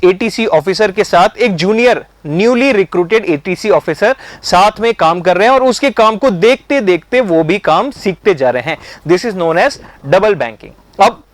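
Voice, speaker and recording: A man's voice: high voice, no echo. A man with an Indian accent giving an explanatory lesson as an instructor, going step by step and mixing in English terms. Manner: methodical, explains step by step, repeats check phrases; mixes English terms into speech.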